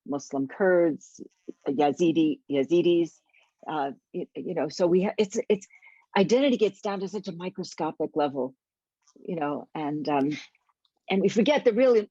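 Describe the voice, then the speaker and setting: A woman's voice: sits low, darkish tone, no echo. A woman hosting an interview, musing thoughtfully and reading out audience questions. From United States. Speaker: interview host. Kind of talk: reflective conversational interviewing. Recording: dry, dull lo-fi sound.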